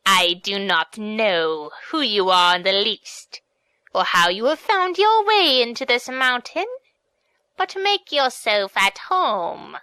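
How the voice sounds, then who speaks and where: A woman's voice: medium pitch, expressive, slow pace, bright, forceful tone, clean tone, no echo, rough recording. A woman voicing a fierce, haughty male demon king in a storybook, speaking in an imperious manner. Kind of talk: audiobook character voice.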